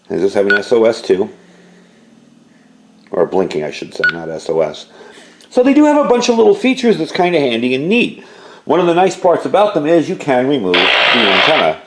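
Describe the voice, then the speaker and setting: A man giving a casual, rambling product review as a hobbyist, explaining features in a conversational way. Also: medium pitch. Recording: echoey room with faint background noise.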